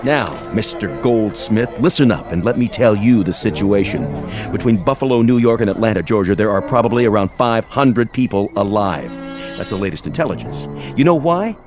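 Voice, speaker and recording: A male actor as a domineering American army major in a post-war radio play, sneering and sarcastic. His pitch swoops widely through slangy threats and taunts, over loud music. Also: animated, moderate pace, close to an everyday voice. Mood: cold menace. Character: domineering, mocking army major enforcing order after nuclear war. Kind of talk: audio drama acting.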